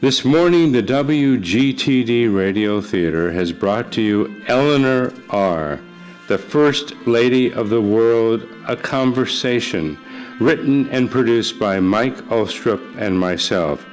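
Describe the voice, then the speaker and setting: A man's voice: medium pitch, slow, faint music behind, poor audio. A man with an American accent, speaking as the host and announcer of a live radio theater broadcast. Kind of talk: live broadcast credits and on-stage chat. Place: church with live studio audience.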